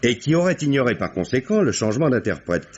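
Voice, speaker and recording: An actor playing a courteous but firm police commissioner, measured and polite, in a vintage French radio mystery. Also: male voice, mid-range voice, big pitch swings, a little mellow, breathy edge. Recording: dull vintage radio recording.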